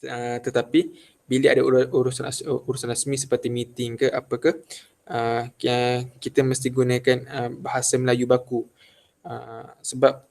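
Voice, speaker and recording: A young man from Kelantan giving a halting class presentation, full of fillers and restarts. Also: medium pitch, creaky, darkish tone. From Malaysia, Kelantan. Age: young adult. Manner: hesitant, many fillers and restarts. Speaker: student presenter.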